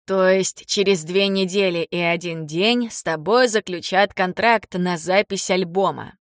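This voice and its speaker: A woman voicing an ailing older father in an audiobook, blunt, teasing and fond, speaking slowly and haltingly. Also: lowish voice, dry recording, studio quality, lightly acted. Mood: curious, measured. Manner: slow, deliberate, halting for words. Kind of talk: audiobook character dialogue.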